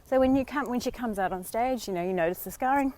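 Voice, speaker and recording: An Australian woman vlogging live on a walk outdoors, slightly hoarse from a sore throat, talking in a chatty, encouraging way with many fillers. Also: on the high side, fast, a little mellow, rough recording. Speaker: vlogger and dating coach. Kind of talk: casual live-stream talk.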